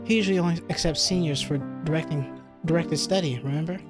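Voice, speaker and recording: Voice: medium pitch, fast talker, lightly acted. A game player voicing a friendly, earnest young female student tutor in a visual novel. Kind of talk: reading visual novel dialogue aloud. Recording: game music behind the voice.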